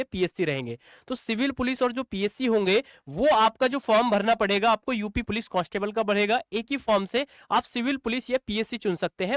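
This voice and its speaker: A man's voice: high voice, no room sound. A man teaching in an explainer video, repeatedly asking and answering his own questions and calling viewers friends. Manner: repetitive, asks and answers own rhetorical questions; addresses viewers as friends and brother. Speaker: exam coaching teacher.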